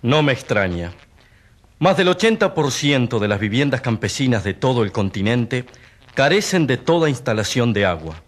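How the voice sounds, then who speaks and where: An actor playing an earnest country doctor testifying in a courtroom radio drama, explaining with grave, emphatic concern. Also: male voice, mid-range voice, big pitch swings, moderate pace. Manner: emphatic, expository. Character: earnest doctor witness, long among peasants, gravely concerned.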